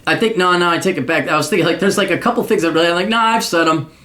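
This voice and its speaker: A man with a high-pitched voice rants his advice straight at the listener. He is blunt and crude, swears freely, and mocks the person he addresses while lecturing them on how the world works. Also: rapid-fire, pushed and bright. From United States. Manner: profane, mocking, lecturing. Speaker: podcast host giving paid advice.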